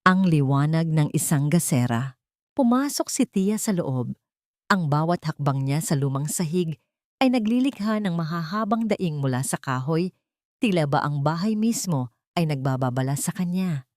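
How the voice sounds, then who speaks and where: Lady reading a dramatic audiobook story, lowish voice, tense, dry close mic. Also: darkish tone, no room sound. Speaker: audiobook narrator.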